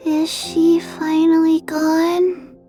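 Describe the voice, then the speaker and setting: High, slow, sly talking snake. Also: female voice, warm tone, full character voice. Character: sly, dry-witted female talking snake. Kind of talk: video game character dialogue.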